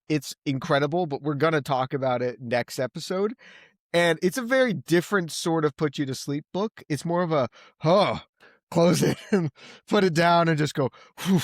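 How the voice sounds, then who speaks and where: A man's voice: on the high side, no echo, clean studio sound. A man in a casual podcast-style book discussion, thinking aloud and often rephrasing as he goes. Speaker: podcast host reviewing books.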